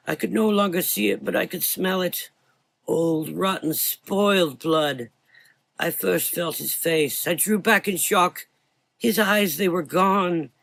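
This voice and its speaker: A man performs an old blind doctor in a gothic horror audio drama, pitched on the high side. He is guilt-ridden and frightened, pleading and stumbling over his words. The recording is close and dry. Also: creaky, fairly bright tone, dry recording, heavily acted. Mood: haunted, grieving. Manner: anguished, halting, stammering.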